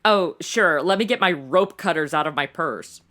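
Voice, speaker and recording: A woman's voice: deep voice, expressive, moderate pace, bright, forceful tone. A young woman playing a sassy, impatient modern twenty-something in a comedic audio drama, with a sarcastic, snarky delivery. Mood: defiant. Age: young adult. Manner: sarcastic, snarky, exaggerated reactions.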